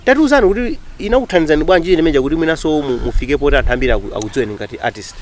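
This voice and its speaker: Fast-talking Malawian man hosting, slightly high voice. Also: animated. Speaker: radio show host interviewing a guest. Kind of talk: conversational radio interview mixing Chichewa and English.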